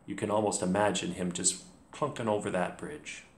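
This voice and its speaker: A man in his thirties, a teacher leading a read-along story lesson for children, clear, encouraging and deliberate. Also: low-pitched, fairly even intonation. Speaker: teacher, host. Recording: roomy home recording.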